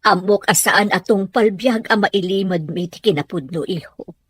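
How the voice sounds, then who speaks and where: A woman playing a patient, protective middle-aged mother in a radio-style family drama, emotive and gently explaining. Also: medium pitch, normal speed, breathy edge, no room sound, clean studio sound. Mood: hesitant, concerned.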